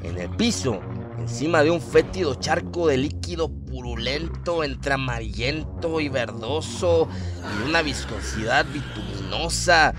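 A man reading a scripted horror story as a narrator, in a dramatic, suspenseful manner with drawn-out phrasing. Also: a little high. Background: music.

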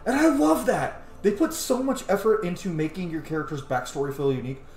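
A man's voice: high voice, fairly quick, a little mellow. A man in his thirties giving casual gameplay commentary, speaking emphatically and swearing freely. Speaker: game streamer. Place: small indoor room at home. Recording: roomy sound with music behind. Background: game music.

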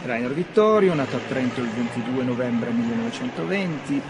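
An actor playing a keen, slightly teasing police subordinate who reports briskly to superiors in a radio drama. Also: male voice, medium pitch, lively intonation, heavy background noise, natural voice. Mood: dutiful, knowing. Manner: brisk official reporting with knowing asides. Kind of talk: Italian radio drama acting.

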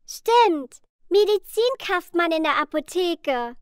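A caring, cheerful little cartoon girl with a very high voice. She speaks slowly and deliberately, as if guiding young viewers through simple questions. The sound is dry and close. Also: big pitch swings, no room sound, heavily acted. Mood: friendly, instructive. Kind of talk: slow, clear children's educational cartoon dialogue.

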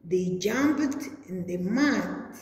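A young adult woman preschool teacher reading a story to small children in a deliberate, singsong way, recorded in a classroom. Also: mid-range voice, lively intonation, a little mellow. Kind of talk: children's read-aloud story lesson. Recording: very echoey hard-walled room.

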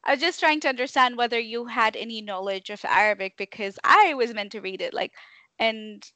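A young woman hosts an interview from a small room, speaking through a headset. Her voice is bright and crisp, and the sound is dry and close. She presses with quick, curious follow-up questions. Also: mid-range voice, dry recording. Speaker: host. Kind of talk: interview on a video call.

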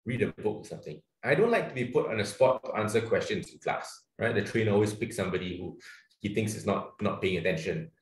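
A man's voice: lowish voice, expressive, fast talker, roomy sound, low-quality recording. A man with a Singaporean accent leading an online training workshop, chatty and loose, with lots of fillers and restarts. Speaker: webinar trainer. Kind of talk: casual online workshop presentation.

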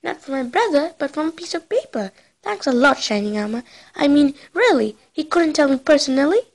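Woman acting a bookish young cartoon pony princess, high voice, earnest, excitable, emphatic. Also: brisk. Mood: hurt, sarcastic.

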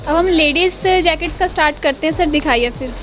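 A woman's voice: high-pitched, fairly quick, fairly bright tone. Young female shop-tour presenter, gushing sales patter, handheld mic, music bed. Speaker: video reporter. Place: indoor wholesale shop.

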